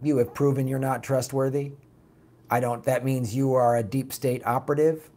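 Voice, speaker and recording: A raspy man hosting a political talk show, reading viewer comments and answering them bluntly in a casual, animated way. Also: mid-range voice, normal speed. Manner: blunt, animated, mocks opponents with put-on quotes.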